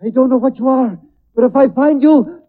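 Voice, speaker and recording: Frantic, high-pitched cornered radio villain. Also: male voice, normal speed. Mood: frantic, threatening. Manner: agitated, stammering, threatening. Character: frantic murderous cornered villain posing as old Pop. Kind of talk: 1940s radio acting. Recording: tinny narrow-band old radio recording.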